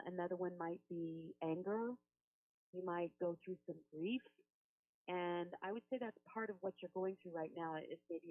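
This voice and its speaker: A woman working as a helpline phone coach, talking a caller through in a soothing, reassuring way and praying with her. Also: fairly low pitch. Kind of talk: counseling phone call and spoken prayer. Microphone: telephone. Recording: tinny phone-line recording.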